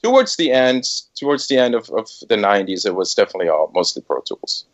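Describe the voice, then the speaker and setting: A man's voice: fairly low pitch, big pitch swings, fast, dull audio. A middle-aged man, a music producer, telling his career story in a casual podcast interview, hesitant with many fillers. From Switzerland.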